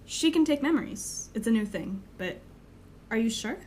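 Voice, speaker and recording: A woman's voice: a little high, expressive, moderate pace, a little mellow, a little hiss or hum, natural voice. A woman playing a frank, teasing, loyal young superheroine in an audio drama, with a wry delivery. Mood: candid.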